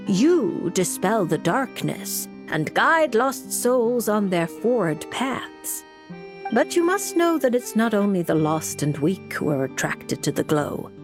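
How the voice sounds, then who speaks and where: An enigmatic woman fortune teller for a game. She speaks slowly and musingly with a wide, lilting range, composed and gently teasing, with music underneath. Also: medium pitch. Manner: measured, poised, musing. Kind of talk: video game voice acting.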